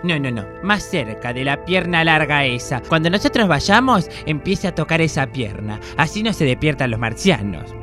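A man's voice: fairly high pitch, sing-song, moderate pace, hardly any pauses, music in the background. A man with an Argentine accent playing a bossy, impatient, scheming paranormal investigator in a comic radio play. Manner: scolding, emphatic. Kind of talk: comedic amateur radio play acting.

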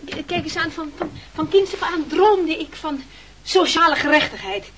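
An actor playing a timid, stubborn, self-pitying castaway who stammers and protests in a radio play. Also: male voice, very high-pitched, moderate pace, pushed and bright. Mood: plaintive, pleading. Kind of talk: theatrical radio play acting.

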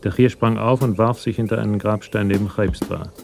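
An actor playing the sober, detached narrator of a German radio crime drama. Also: male voice, fairly low pitch, fairly even intonation, a little fast, creaky, music in the background. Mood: sober, tense.

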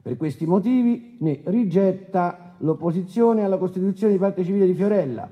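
A man acts out a presiding judge in a radio courtroom play. His voice sits a little high, official yet brusque, pressing each question and cutting in. The sound is somewhat dull and lo-fi. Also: normal speed, close to an everyday voice. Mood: formal, stern. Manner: insistent, interrupting, official. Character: presiding male judge, brusque, insistent, coarse interrogator. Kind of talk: courtroom drama dialogue, formal questioning.

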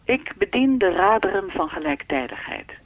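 A man's voice: high voice, sing-song, normal speed, no echo. A man playing an enigmatic, all-knowing keeper of time in a radio play, speaking formally and teasingly through a telephone filter. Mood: calm, lecturing. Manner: formal, lecturing, unruffled, faintly teasing. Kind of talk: radio drama dialogue. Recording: thin, dry telephone-line sound.

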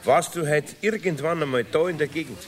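An actor with a Bavarian accent playing a good-natured older master carpenter in a 1970s radio play, earnest and homely. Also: male voice, a little high, brisk, lightly acted. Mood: earnest storytelling.

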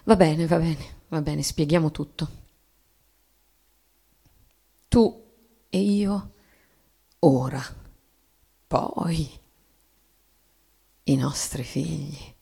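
An actress playing a weary, melancholy wife and mother in a theatrical radio play reading, pensive and sinking into breathy murmurs. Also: sits low, extremely slow, long pauses, mellow, heavily acted. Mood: pensive, anguished.

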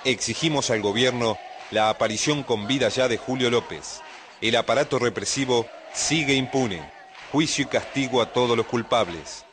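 A loud crowd fills the recording behind a man. He delivers political slogans in a mid-pitched voice, like a campaign announcement. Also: moderate pace.

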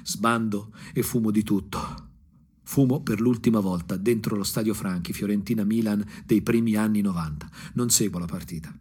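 An actor playing a disillusioned provincial ex-punk looking back with bitter irony, in a husky, brooding radio drama monologue with dramatic pauses. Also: male voice, on the low side, fairly even intonation, darkish tone. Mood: bitter, weary. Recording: music playing under the voice. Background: guitar music.

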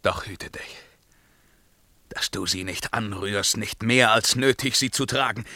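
An actor playing an anguished, imperious ruler in a verse radio drama, declaiming between whispers and loud commands, with a creaky voice. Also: male voice, on the low side, a little slow, dry recording. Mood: imperious, threatening. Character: anguished, imperious male ruler mourning his dead beloved.

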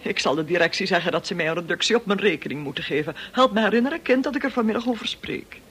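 A woman's voice: mid-range voice, expressive, fast talker, a bit bright, slightly rough. An actress playing a snobbish, gushing, domineering middle-aged society lady in a radio drama, with a pompous, affected, bossy manner. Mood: complaining.

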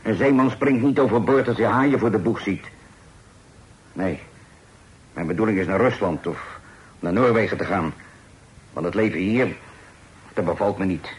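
An actor in a radio play voicing a proud sailor turned outlaw, speaking in a low, earnest and emphatic way. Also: male voice, moderate pace, pauses often, close to an everyday voice, poor audio. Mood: defiant.

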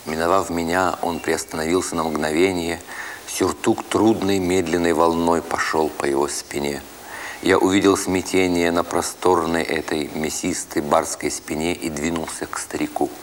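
A man reads a first-person literary story as a dramatic narrator, with expressive, deliberate phrasing. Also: low voice, a little hiss or hum. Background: music.

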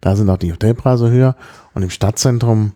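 Man, low voice, mellow tone, casual storytelling, fillers, throat clearing. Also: brisk. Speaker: podcast host telling of his travels. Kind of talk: casual conversational chat.

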